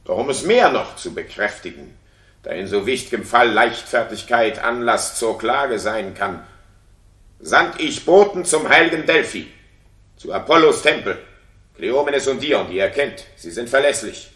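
A man's voice: mid-range voice, expressive, measured pace, a bit bright. An actor playing a jealous, wrathful king in a declamatory, imperious 1960s radio drama style. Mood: imperious, justifying. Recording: roomy 1960s radio studio recording.

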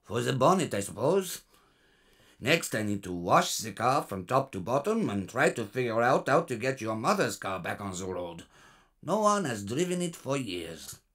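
Busy everyday working man, medium pitch, lively inflection, children's audio drama. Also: moderate pace, slightly rough. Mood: busy, preoccupied. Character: busy working men, a dad and driver. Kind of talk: children's audio story acting.

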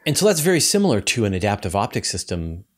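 Low-voiced middle-aged man interviewing. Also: very animated, quick pace. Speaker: interviewer, host. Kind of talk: conversational science interview. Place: indoor room, video call.

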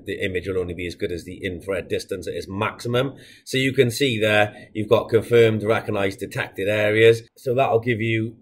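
A man in his thirties giving a casual software tutorial, explaining each step in a plain, informal way as a product demonstrator. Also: fairly low pitch. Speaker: product demonstrator for a security equipment supplier.

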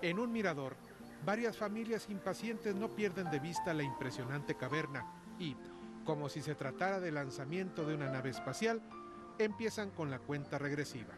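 A man narrating a scripted nature segment in a measured, descriptive tone. His voice sits a bit high. A music bed plays clearly underneath. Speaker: reporter.

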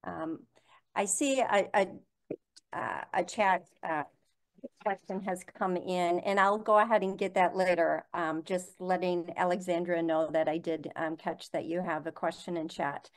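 A middle-aged woman moderates an interview over a video call. She speaks in a measured way, pausing on fillers as she passes along audience questions. Her voice sits on the low side. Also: steady tone. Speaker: interview host. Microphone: webcam on a video call.

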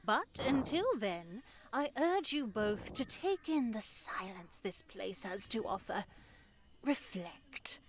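A woman playing a pious, stern older nun in a visual novel game, speaking in a measured, formal, sermonizing way. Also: medium pitch, big pitch swings. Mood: benevolent, formal. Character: pious, stern older nun addressing girls in her care.

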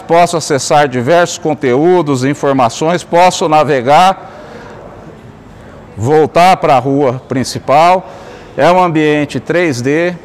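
A middle-aged man with a slightly raised pitch explains a touchscreen platform step by step, in a steady, explanatory tone. He narrates each click as he goes. A faint hum of background noise sits behind him. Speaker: company executive demonstrating a digital fair. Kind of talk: product walkthrough. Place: large indoor store.

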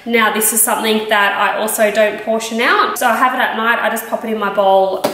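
A woman's voice: a little high, steady tone, brisk, pushed and bright. A woman in her thirties with an Australian accent, casually explaining a cooking routine to camera in a home kitchen. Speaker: health vlogger. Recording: echoey kitchen with background noise.

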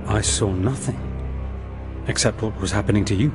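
An actor playing a steady, concerned male warrior in an audio drama, with solemn, measured, formal speech. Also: lowish voice, music in the background, natural voice. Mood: grave concern.

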